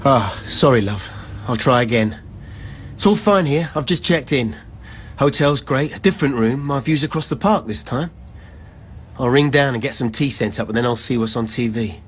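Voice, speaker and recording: A man's voice: medium pitch, expressive, normal speed, husky. A man acting a proud, weary middle-aged labourer in a radio play, speaking conversational verse with wry asides. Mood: falsely cheerful. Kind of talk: poetic radio drama acting.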